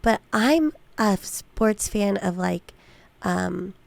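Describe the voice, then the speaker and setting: Female podcast guest, playful and halting conversational delivery, mid-pitched and gravelly with fry. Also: animated, dry recording. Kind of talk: casual chat.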